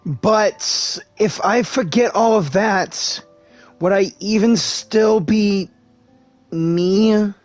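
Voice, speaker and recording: A man streaming a game live and speaking replies to its characters in a halting, deliberate, earnest way. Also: high voice, no echo. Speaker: gaming streamer and amateur voice-over artist. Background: game music.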